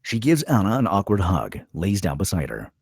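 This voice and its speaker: Man, screenplay narrator, low pitch, lively intonation, slightly rough husky tone, scripted delivery. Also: normal speed, no echo, clean studio sound. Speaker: stage directions narrator.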